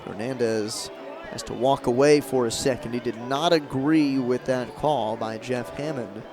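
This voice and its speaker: A man doing live radio play-by-play of a baseball game as the announcer, in measured calls with emphasis on key moments. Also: mid-range voice, music in the background. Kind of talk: live radio sports commentary. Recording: crowd and ambient stadium noise behind. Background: ballpark crowd murmur.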